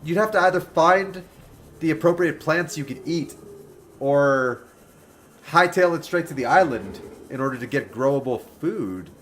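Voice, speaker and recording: A man in his twenties chatting casually with viewers while livestreaming a video game, joking and animated. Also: on the high side, normal speed, slight background noise. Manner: animated, joking, talks to chat by name. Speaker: game streamer.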